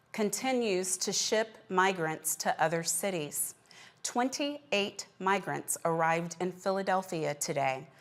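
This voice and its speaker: A woman hosting a news programme, speaking in a deliberate, emphatic way as she reports and puts questions to panelists. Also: mid-range voice. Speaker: news show host.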